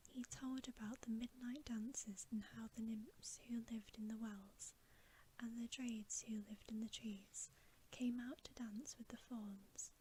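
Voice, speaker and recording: A woman reading a bedtime story aloud as ASMR in a soft, breathy, unhurried whisper, close to the microphone. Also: a little high, slight background noise, poor audio.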